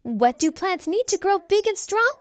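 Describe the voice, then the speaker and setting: A woman's voice: extremely high pitch, big pitch swings, normal speed, no echo, pitched above the performer's own voice. A woman voicing a kind, encouraging preschool teacher in a storybook, explaining patiently to small children.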